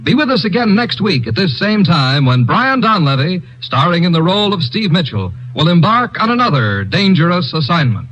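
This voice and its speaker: A male radio announcer reading closing credits in a measured, formal way. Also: high-pitched, very animated. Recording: dull old radio broadcast with music. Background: music.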